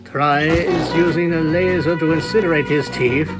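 Slow-paced male storyteller, slightly high voice. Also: fairly even intonation, over loud music. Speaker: fanfiction reader, narrator. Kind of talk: scripted storybook narration.

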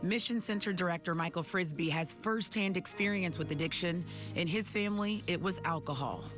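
A woman's voice: lowish voice, a little fast, over music. An American woman working as a television news reporter, delivering and discussing a news story. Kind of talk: scripted news report narration and live studio discussion.

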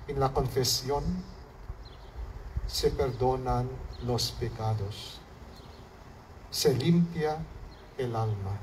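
A man with a Filipino accent whose first language is Hiligaynon, a priest giving a homily at an outdoor altar in slow, measured phrases that fall at the ends. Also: mid-range voice. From Philippines. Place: outdoor altar under a canopy. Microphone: far camera, mic at lectern. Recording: distant outdoor recording with faint background noise.

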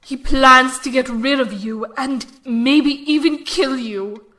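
Bright sharp voice, woman playing a scheming queen mother, slow, deliberate, manipulative, audio drama. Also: high voice, full character voice. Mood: cold, scheming. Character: cold, scheming queen mother goading her royal son. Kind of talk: educational audio drama acting.

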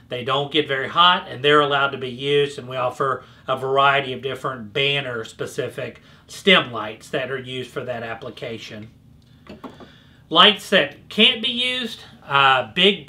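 A man's voice: mid-range voice, bright, forceful tone. A middle-aged man with an American accent, explaining products to camera in an informal, conversational way. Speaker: presenter for a trade show supplier. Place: indoor display booth set, standing behind a counter.

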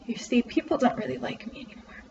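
A woman playing a frightened, withdrawn teenage girl in a quiet, halting dramatic monologue from a novel. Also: recorded from a distance, lo-fi sound, background noise, lightly acted. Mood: scared, dejected. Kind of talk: character monologue.

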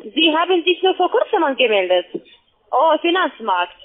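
High, lively woman on phone. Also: normal speed. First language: not German. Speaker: call centre sales agent. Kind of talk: halting sales pitch. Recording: narrow, tinny phone line.

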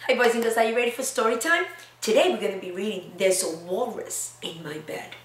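A woman in her thirties, a preschool teacher reading aloud and talking to young children in a simple, expressive way with questions for them. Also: mid-range voice, brisk, soft background music. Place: indoor classroom-like room. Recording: roomy echo, distant mic, faint noise.

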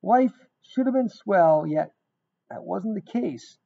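A middle-aged man reading a rhyming picture book aloud in an expressive, emphatic storytelling manner. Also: sits high, takes it slowly, vocal fry. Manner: emphatic, dramatic storytelling with repeated phrases. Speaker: guest reader of a children's book. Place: small indoor room. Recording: dull, lo-fi webcam sound.

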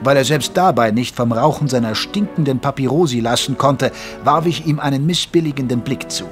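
An actor playing a sober, reluctant army doctor, narrating his story in an audio drama in a measured, dryly wry way. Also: medium pitch, faint music behind, lightly acted. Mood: wary, dry. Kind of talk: scripted first-person audio drama narration.

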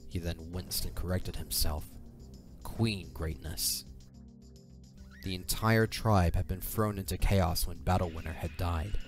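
A man narrating a scripted, dramatic fantasy story as the narrator of an audio drama. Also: low voice. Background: music.

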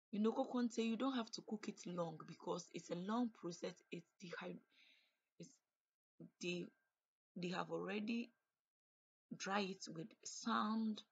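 A woman narrates her cooking in a relaxed, chatty way, describing each step as she works. Her voice sits a little low and has a breathy, husky edge. It sounds like a home kitchen recording. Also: lo-fi sound. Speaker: cooking vlogger. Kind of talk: casual cook-along narration.

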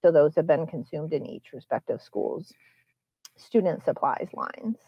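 A woman presenting a school budget at a public hearing, explaining line items and figures in a measured, explanatory way. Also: deep voice, animated, husky, no room sound, muffled recording. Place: public meeting.

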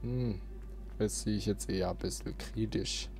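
Low-voiced man commenting on a video game. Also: steady tone, normal speed, gravelly, darkish tone, faint music behind. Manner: chatty, thinking aloud to viewers. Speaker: let's play host. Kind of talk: casual gameplay commentary. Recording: noisy, game sound behind the voice. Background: video game sound effects and ambience.

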